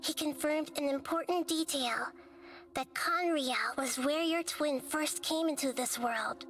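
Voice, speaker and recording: A woman voicing a wise, gentle young girl deity in a video game, speaking softly in a measured, reassuring way. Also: high-pitched. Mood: calm, serious. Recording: game audio with music behind.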